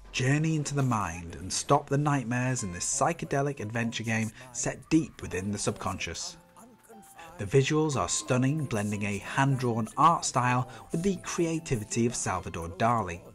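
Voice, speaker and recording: A man with a stuffy nose from a cold, reading an enthusiastic, upbeat scripted game roundup as a channel host. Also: medium pitch. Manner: enthusiastic, upbeat review delivery.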